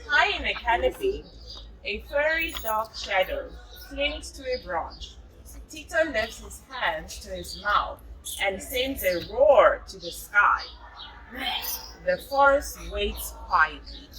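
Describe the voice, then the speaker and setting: A South African woman with a fairly high voice reads a storybook to children at a slow pace. She pauses to explain and to confirm their answers in an encouraging way. The microphone sits at some distance from her. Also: expressive, sharp tone. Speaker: storyteller reading to young children. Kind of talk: read-aloud of a picture book with explanations to children.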